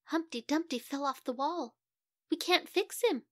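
A flustered, anxious castle knight from a fairy tale voiced by a woman storyteller. The voice lifts high over a dry, close recording. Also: a little slow, fairly bright tone, dry recording. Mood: panicked.

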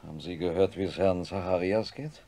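A troubled professor of surgery in a radio play. His voice is deep, and he speaks in dramatic dialogue. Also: fairly quick, mellow. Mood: dismayed. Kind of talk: German radio drama acting.

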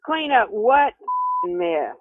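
Animated, blunt Southern woman. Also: on the high side, extremely slow. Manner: blunt, irritated, incredulous. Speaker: prank call target. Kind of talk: casual phone talk. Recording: muffled telephone call.

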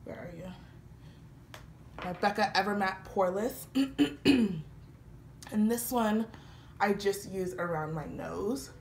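A young woman talking casually through a makeup routine, slightly congested from a cold, chatty and relaxed with frequent fillers. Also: medium pitch, slow, pauses often. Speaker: beauty vlogger. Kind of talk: casual makeup tutorial talk. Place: small indoor room, patterned wall with lights. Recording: roomy echo, faint background hiss.

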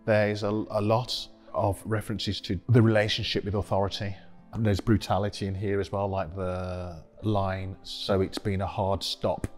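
A middle-aged man giving thoughtful, measured commentary in a documentary interview in a gallery room. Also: fairly low pitch, little pitch movement, a little mellow, faint music behind.

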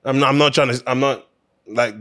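A man's voice: medium pitch, steady tone, brisk, bright, forceful tone. A man hosting an opinion show, talking casually and emphatically in a conversational way, repeating phrases to drive his points home.

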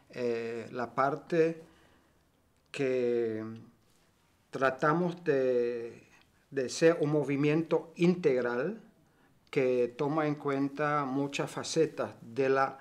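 An older man talks very slowly, stopping often to search for words and restarting phrases. He is from Germany and answers interview questions while seated in a bright room by tall windows. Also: medium pitch. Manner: slow, halting, many fillers and restarts. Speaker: cooperative member.

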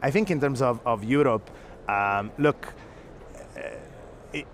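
A man in his thirties with an Italian accent, a guest talking fast and eagerly in an interview on a convention floor, on a lapel mic. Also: medium pitch, a little hiss or hum. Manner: fast, energetic, gesturing, restarts. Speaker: guest, foundation executive. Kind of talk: conference interview. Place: busy convention hall floor.

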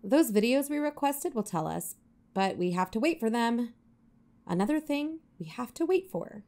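A pragmatic woman investigator played by an actress in a fiction podcast. Her voice is fairly high and swings a lot in pitch, yet she is blunt and matter-of-fact. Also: lively intonation, moderate pace, darkish tone. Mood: resigned. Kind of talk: fiction podcast audio drama acting.

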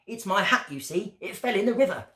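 A grumpy male storybook bat, played by a woman, sulky and exclaiming. The voice jumps about in pitch and sounds in an echoey room. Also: lively intonation, a little fast, fairly bright tone, close to an everyday voice. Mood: upset.

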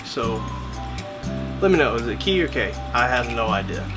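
A young man chats casually into a camera held at arm's length in a small cruise cabin. He rambles with lots of fillers and pokes fun at himself. His voice sits at a middling pitch, with music playing behind him. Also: big pitch swings, moderate pace. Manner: rambling, self-deprecating, filler-heavy. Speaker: vlogger. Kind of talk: casual vlog chat.

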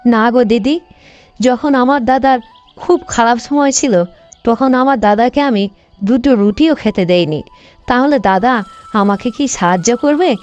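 A woman's voice: a little high, unhurried, darkish tone, soft background music, natural voice. A woman voicing a proud younger sister and mother in a cartoon morality tale, in a dull, lo-fi recording. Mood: ashamed. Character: haughty, dismissive younger sister and mother, later humbled.

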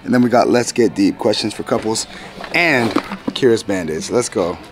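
A man's voice: lowish voice, normal speed, noisy background. A man in his thirties chatting casually to viewers as a family vlogger, upbeat and talkative, recorded on a handheld camera inside a large store. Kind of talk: casual vlog chat. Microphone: handheld camera at arm's length.

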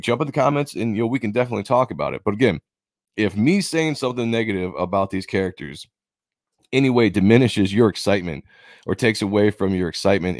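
A man hosting his own channel, giving a rambling, opinionated commentary and repeating himself, his voice going hoarse. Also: sits low, no room sound, clean studio sound. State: losing his voice, coughing. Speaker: comic book channel host.

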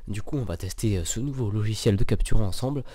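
A young man with a stuffy cold, giving jumpy let's play commentary at his microphone, swearing and joking to calm himself. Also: fast talker. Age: young adult. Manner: jumpy, swears, jokes to stay calm, squeaks up when scared. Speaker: gaming youtuber. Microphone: desk microphone.

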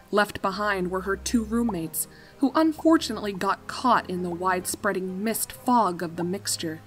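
A woman's voice: medium pitch, poor audio. A woman reading scripted fan-fiction story narration as the narrator. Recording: music under the voice.

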